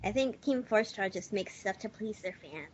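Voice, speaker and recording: A woman's voice: medium pitch, animated, moderate pace, slightly rough. A woman chatting casually as a panelist on a podcast, recorded on a dull lo-fi voice chat. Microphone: USB or desktop mic covered with a sock.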